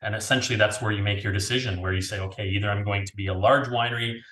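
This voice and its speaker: A man's voice: on the low side, steady tone, rapid-fire, bright, forceful tone. A Canadian man in his thirties giving casual, chatty explanations as an interview guest on a video call. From Niagara region, Canada. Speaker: winery owner being interviewed. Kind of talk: conversational interview answers. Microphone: video call, earphones. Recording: roomy, echoey video call audio.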